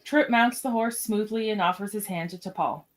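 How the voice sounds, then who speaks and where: Mid-pitched woman narrating a radio play. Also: normal speed, heavy background noise. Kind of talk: scripted narration of stage directions.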